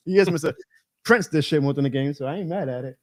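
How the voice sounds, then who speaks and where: This is a man in his thirties hosting a live sports stream, with a voice pitched a little high for a man. He rants with energy and laughs often. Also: a little fast. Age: 30s. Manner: animated ranting, laughing often. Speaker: show host.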